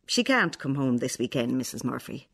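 An actress with an Irish accent playing a stern, bitter, defensive older nun in a radio play, sounding accusing and sanctimonious. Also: female voice, very deep voice, sing-song, moderate pace, creaky, slightly rough, exaggerated voice. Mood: indignant. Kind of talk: radio play acting, monologue and dialogue.

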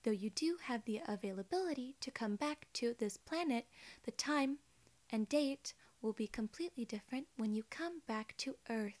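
A woman in her twenties voicing a polite alien gatekeeper in soft-spoken, measured, reassuring ASMR role-play. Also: on the high side, lively intonation, close to an everyday voice. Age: 20s. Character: polite, formal female alien gatekeeper at a wormhole.

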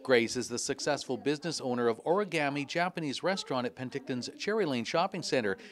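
A man narrating a scripted local news report in a measured, upbeat way. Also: mid-range voice, animated.

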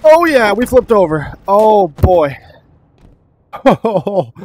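Excitable young man swearing through gameplay. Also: sits high, drawn-out words, a little hiss or hum. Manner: excitable, swears a lot, laughs often. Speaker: gaming YouTuber. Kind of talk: casual gameplay commentary.

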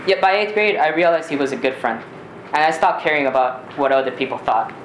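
A teenage male from Ecuador, a native Spanish speaker, reading a personal story aloud in a measured, deliberate way with occasional stumbles. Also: fairly high pitch, a bit bright. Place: small community theater stage. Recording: roomy live stage recording, faint noise.

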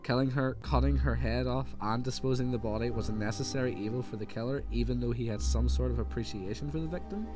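A man's voice: medium pitch, fast, few pauses, music in the background, lightly acted. A man playing a stern, exasperated professional detective inspector in an amateur radio drama, speaking formally and precisely. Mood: analytical. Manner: formal, clipped, no contractions.

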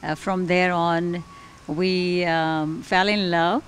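Calm, low-pitched woman from Gujarat. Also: slow, slight background noise. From Gujarat, India. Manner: calm, conversational, with small hesitations. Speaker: interview guest, physician. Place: outdoors on the porch of a large unfinished house. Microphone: handheld interviewer mic.

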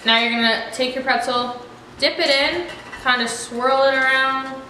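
A woman's voice: high-pitched, steady tone, a little slow, bright, forceful tone. A teenage girl walking viewers through a recipe step by step in a casual home cooking vlog, recorded in a kitchen by a far-off camera. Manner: instructive, matter-of-fact. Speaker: vlog host. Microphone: far camera on a tripod. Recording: roomy echoey kitchen, noisy.

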